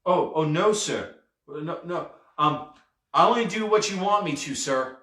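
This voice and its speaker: A man's voice: on the high side, lively intonation, a little slow, a bit bright. Man voicing a timid, hesitant lad, echoey room. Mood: anxious, placating. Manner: hesitant, apologetic, polite. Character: timid, deferential, anxious young lad. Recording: roomy, echoey, distant mic.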